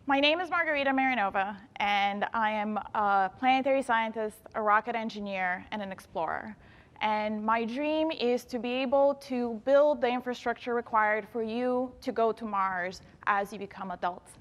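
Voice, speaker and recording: Woman, thirties, fairly high voice, enthusiastic talk to students, long hall reverb. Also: normal speed, a bit bright. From Bulgaria. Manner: enthusiastic, addresses audience directly. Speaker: engineer and planetary scientist speaking to students. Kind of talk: inspirational talk to youth. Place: event hall.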